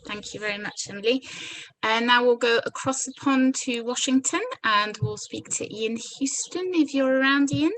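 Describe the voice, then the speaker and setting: A woman's voice: fairly high pitch, normal speed, fairly bright tone, rough recording. A middle-aged woman from France chairing a meeting and introducing herself informally, in hesitant, broken phrases.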